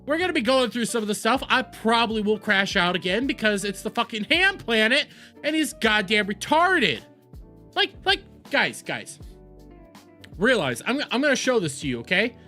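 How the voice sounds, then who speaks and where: A male streamer rants to his live chat in a loose, foul-mouthed, excitable way. His pitch leaps all over the place and his tone is sharp and bright. Soft music plays faintly underneath. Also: normal speed. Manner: rambling, profane, excitable. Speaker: livestream host. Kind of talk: casual livestream chat.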